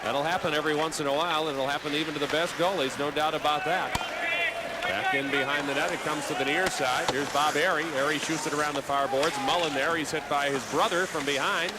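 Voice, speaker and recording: Male hockey play-by-play announcer, slightly high voice, fluent running call, loud arena noise behind. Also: fairly even intonation, quick pace. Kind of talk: live sports commentary. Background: loud hockey arena ambience.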